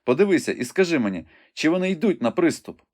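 A man's voice: on the high side, very animated, quick pace, a little mellow, pitched above the performer's own voice. A man voicing a wounded young knight, impatient and urgent, pressing questions from his bed. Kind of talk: audiobook reading.

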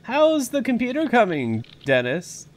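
A man's voice: very high voice, huge pitch swings, measured pace, full character voice. A man playing a domineering, irritable old billionaire park founder in an audio drama, scolding and emphatic. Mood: irritated.

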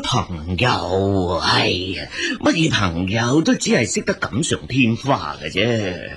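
An old Hong Kong father in a Cantonese radio drama. His delivery swoops widely in pitch, grumbling and exclaiming, yet caring. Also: very animated, takes it slowly, gravelly, a crowd in the background, heavily acted. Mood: concerned.

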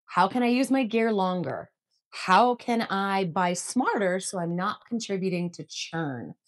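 A woman's voice: mid-range voice, a little slow. A woman in her thirties giving an earnest, emphatic scripted explainer to camera from a room at home. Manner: earnest, emphatic, gestures with both hands. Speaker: outdoor vlogger. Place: home room with bookshelves, indoors.

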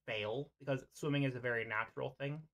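A game master narrating an improvised tabletop roleplay over an online voice call, often pausing mid-sentence. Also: mid-range voice, steady tone, fairly bright tone.

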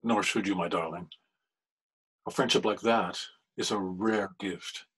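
Actor as husky, solemn older husband. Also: male voice, low-pitched, steady tone, full character voice. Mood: gentle, forgiving. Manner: solemn, measured, gentle. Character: forgiving, devout older husband, gentle, solemn. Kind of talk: period radio drama acting. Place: room at home, video call.

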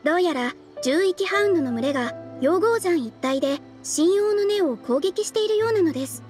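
A woman's voice: very high-pitched, animated, a little fast, over music. A woman playing a polite, earnest young guild receptionist in a game dub, speaking formally and courteously. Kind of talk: Japanese game dub, quest briefing dialogue.

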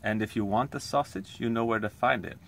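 A man tells a comic story. His voice is bright and forceful. He talks in an earnest, rambling way, with many pauses. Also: fairly low pitch, vocal fry, a little hiss or hum. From Poland. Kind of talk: comic monologue.

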